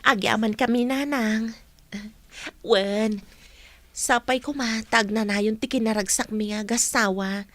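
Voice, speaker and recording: An actress in a radio drama playing a young woman in love, speaking softly and earnestly. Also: a little high, fairly even intonation, slow, long pauses, no room sound. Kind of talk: broadcast radio drama acting.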